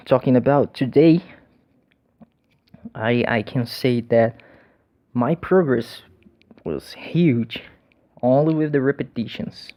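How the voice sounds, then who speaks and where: A young man talks slowly and loosely in English, stumbling over restarts and doubled words. His voice sits at a middling pitch, and the recording sounds dull and lo-fi. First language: Portuguese. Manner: hesitant, many restarts and repeated words. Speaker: language learner sharing tips. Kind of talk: casual talk to camera.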